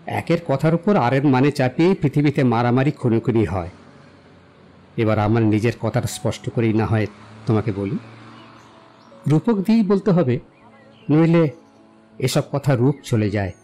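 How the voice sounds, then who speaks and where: Man playing a mellow-voiced eloquent young romantic. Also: mid-range voice, lively intonation, normal speed, pauses often, natural voice. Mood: earnest, explaining. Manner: declamatory, literary, philosophizing. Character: eloquent romantic young man, self-assured, poetic idealist. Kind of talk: dramatized audio story acting. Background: background music.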